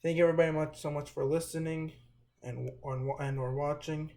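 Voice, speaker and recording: A man hosting a children's read-aloud, reading a storybook with a somewhat halting delivery. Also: a little high, fairly even intonation, a little mellow.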